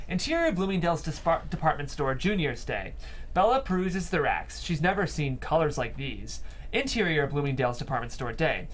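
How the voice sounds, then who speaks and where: A man's voice: fairly high pitch, big pitch swings, brisk, a little hiss or hum. A man reading scripted stage directions as the narrator of a table read.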